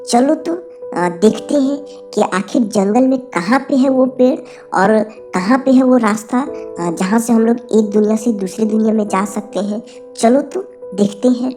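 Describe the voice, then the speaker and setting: A woman's voice: a little high, unhurried, warm tone, lightly acted. A woman voicing an eager, adventurous little male dwarf in a cartoon, coaxing and pleading in colloquial Bihari-accented Hindi. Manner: colloquial, pleading, repetitive urging. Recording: faint background music.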